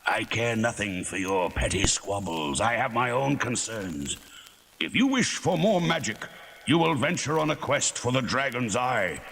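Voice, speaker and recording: A man's voice: medium pitch, big pitch swings, a little slow, gravelly, a bit bright, a little hiss or hum, full character voice. A man voicing a haughty, self-interested old wizard in a story, declaiming with imperious scorn. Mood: scornful, commanding.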